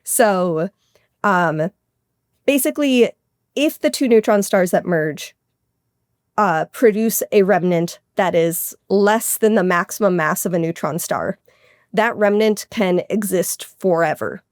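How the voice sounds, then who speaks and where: A woman's voice: on the low side, expressive, slow pace, no room sound. Woman explaining science, dry close sound. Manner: casual technical explaining, peppered with "like". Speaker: guest astronomer being interviewed.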